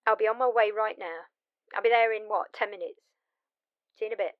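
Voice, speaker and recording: A woman's voice: mid-range voice, fairly quick, lo-fi sound, natural voice. A woman playing a blunt, wry immortal vampire mentor in a one-sided audio drama, conversational and teasing in her delivery. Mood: amused, warning.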